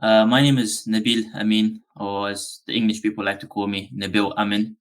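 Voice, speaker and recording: A man from Afghanistan, a business founder being interviewed on a podcast, talking in a relaxed, rambling way with many ums and uhs. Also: fairly low pitch, normal speed.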